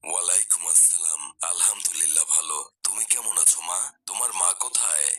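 A man playing a pious, patient father who gently admonishes his daughter in a cartoon. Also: deep voice, a little fast, a little hiss or hum. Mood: calm. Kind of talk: cartoon dialogue.

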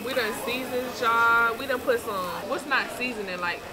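A woman's voice: a little high, moderate pace. A woman at a restaurant table chatting easygoingly about the food, picked up by her friend's camera. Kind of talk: casual chat at dinner. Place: dim busy restaurant booth. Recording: noisy crowded restaurant, off camera mic. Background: heavy restaurant crowd chatter.

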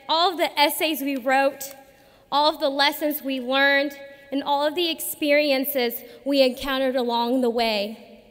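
A young woman in her twenties gives a formal graduation speech at a lectern, earnest and measured, with emphatic pauses. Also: high-pitched, fairly bright tone. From United States. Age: 20s. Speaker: student commencement speaker. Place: large arena at a lectern. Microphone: podium microphone. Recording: long arena reverb.

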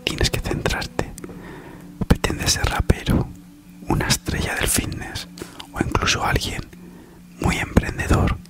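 A man's voice: slow, pauses often. A man from Spain delivers an ASMR parody entirely in whispers. His manner is soothing and reassuring on the surface, with a teasing edge underneath. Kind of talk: whispered ASMR comedy monologue. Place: small living room at home, on a sofa.

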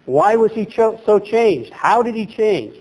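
Animated high-voiced man preaching. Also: unhurried, low-quality recording. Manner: animated preaching with gestures, rising emphasis. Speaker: pastor. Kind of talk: sermon. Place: small chapel, at a lectern.

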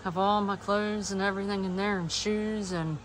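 A woman's voice: mid-range voice, steady tone, normal speed, background noise. A woman in her late twenties giving a casual tour of her small home, talking plainly and listing things as she walks, recorded on a phone. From eastern United States. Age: 20s. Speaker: vlogger. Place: small manufactured home, indoors. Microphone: phone camera, front-facing then walking.

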